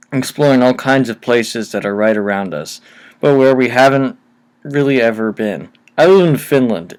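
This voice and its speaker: A man narrating creepy first-person tales at a mid-range pitch. He reads in a steady, low-key way, and a cheap microphone colours the sound. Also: clean tone. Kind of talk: reading horror stories aloud.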